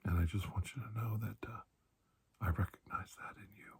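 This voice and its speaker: A man speaking in a soft, breathy ASMR roleplay as a calm, kindly doctor, gentle and reassuring with unhurried pauses. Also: fairly low pitch. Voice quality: breathy, hushed. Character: calm, kindly male doctor soothing a frightened patient. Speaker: ASMR performer playing a doctor.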